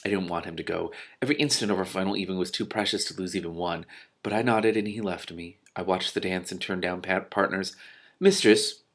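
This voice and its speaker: A man's voice: deep voice, steady tone, fast talker, fairly bright tone. A man reading a story aloud expressively, dropping in his own dramatic, spontaneous reactions as he goes. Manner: dramatic, reacts in whispers and exclamations. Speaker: host reading a novel aloud with commentary.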